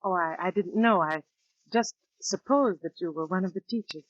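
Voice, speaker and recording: A woman's voice: on the low side, normal speed, lightly acted. An actress playing an earnest, easily indignant young woman teacher who tells her story in 1940s radio style. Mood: surprised, anxious. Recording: dull restored 1940s radio recording, music behind.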